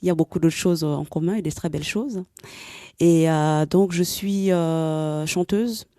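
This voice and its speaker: A young woman from Gabon, a singer giving a calm, measured radio interview. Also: low voice, dry recording, studio quality. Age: young adult. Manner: calm, measured, occasional fillers. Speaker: guest singer. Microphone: studio microphone.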